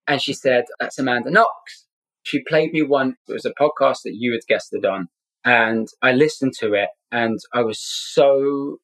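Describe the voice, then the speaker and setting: A man's voice: mid-range voice, a bit bright, rough recording. A middle-aged man from Scotland who grew up in London, speaking with a London accent, tells a personal story earnestly as an interview guest, repeating words for emphasis. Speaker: podcast guest.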